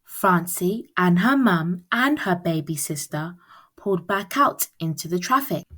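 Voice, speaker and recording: A woman reading a children's picture book aloud as storyteller, with expressive, deliberate phrasing. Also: fairly low pitch.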